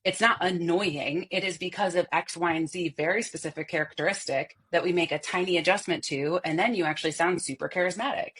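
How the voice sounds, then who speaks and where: A woman's voice: fairly low pitch, brisk, hardly any pauses, fairly bright tone, slight background noise. A woman voice coach speaking expressively as a podcast guest, often laughing and acting out short vocal examples. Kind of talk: animated podcast interview.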